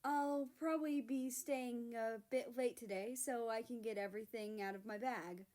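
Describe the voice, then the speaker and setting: Woman voicing a gentle, friendly little healer. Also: fairly high pitch, steady tone, moderate pace, close to an everyday voice. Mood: cheerful. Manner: soft, kind, friendly. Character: small gentle healer, soft-spoken and friendly.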